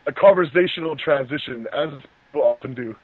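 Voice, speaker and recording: A man's voice: on the high side, fairly quick, slightly rough, no echo, narrow, tinny audio. A man giving casual, mocking commentary on a film. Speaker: co-host of a movie riffing show.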